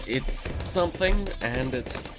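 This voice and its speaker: Laid-back gaming host, congested and nasal, mid-range voice, music bed behind. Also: male voice, medium pitch, lively intonation, takes it slowly. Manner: rambling, laid-back, swears casually. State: recovering from a cold, stuffy nose. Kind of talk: casual commentary over gameplay.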